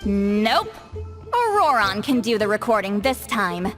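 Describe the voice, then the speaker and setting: A woman's voice: medium pitch, sing-song, measured pace, music in the background. An actress playing a brusque, confident, wry granny shaman in a video game, with a blunt, assured delivery. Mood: brisk, firm.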